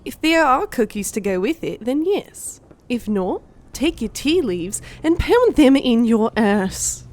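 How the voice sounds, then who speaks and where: Woman as a grumpy, high-voiced creature, very animated. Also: measured pace, hardly any pauses. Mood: sardonic, grumbling. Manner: sardonic, blunt, crude. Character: grumpy, foul-mouthed female non-human creature, hissing and growling. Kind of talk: audiobook drama character acting. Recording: clear background noise.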